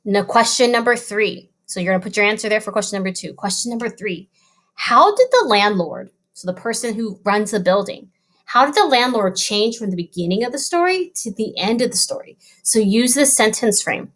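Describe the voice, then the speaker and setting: A woman's voice: mid-range voice, lively intonation, a little fast, fairly bright tone. A woman schoolteacher giving an informal recorded lesson, talking pupils through questions step by step. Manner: instructive, directly addressing pupils, step by step. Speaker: elementary school teacher.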